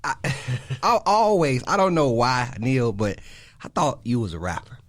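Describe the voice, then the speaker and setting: A man's voice: mid-range voice, big pitch swings, a little slow. A man hosting a radio show, talking casually in an interview style.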